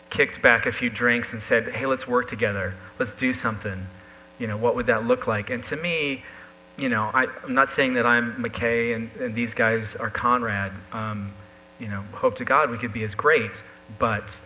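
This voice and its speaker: A man's voice: medium pitch, sharp tone, rough recording. A man speaking as an illustrator in a live panel conversation, in a conversational way. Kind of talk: live on-stage conversation about a book. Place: live event stage. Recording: faint hum on live event audio.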